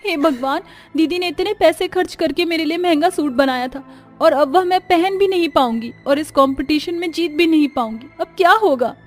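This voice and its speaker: A little sister from an animated fable voiced by a woman speaks in a high, girlish pitch. She is earnest, needy and emotional, with faint music behind her. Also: normal speed. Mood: distressed. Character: young orphan girl, needy and grateful little sister.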